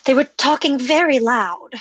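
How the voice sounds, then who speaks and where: An actress playing a nervous, anxious sister in an old-time radio drama. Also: female voice, high voice, moderate pace, husky, dull audio. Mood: nervous, hushing.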